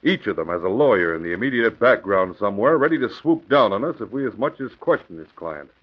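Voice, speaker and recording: A man acting a gruff, frustrated middle-aged police commissioner in a 1940s radio drama. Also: on the low side, expressive, fast talker. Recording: muffled old 1940s radio recording.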